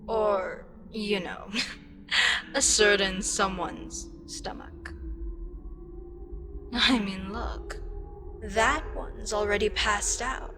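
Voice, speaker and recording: A woman playing a frustrated, condescending, wryly amused eldritch deity in an ASMR audio roleplay, with a dryly sarcastic, unhurried manner. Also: medium pitch, steady tone, background noise. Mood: dry, exasperated.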